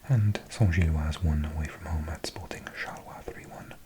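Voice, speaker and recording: A Welsh man in his forties, whispering softly as an ASMR host, slowly reading out a list of results with chatty asides. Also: a bass voice. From Wales.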